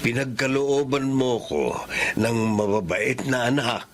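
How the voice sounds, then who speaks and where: An actor playing a grateful, loving middle-aged husband in a Filipino radio drama, speaking earnestly. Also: male voice, medium pitch, unhurried, a little hiss or hum, close to an everyday voice. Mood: tender, grateful.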